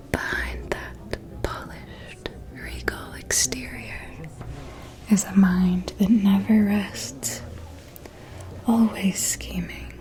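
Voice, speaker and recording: Woman acting a slow, whispering vampire noblewoman. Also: medium pitch. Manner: slow, deliberate, elegant, hushed insinuation. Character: refined, shrewd, gossiping female vampire noble. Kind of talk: whispered ASMR roleplay.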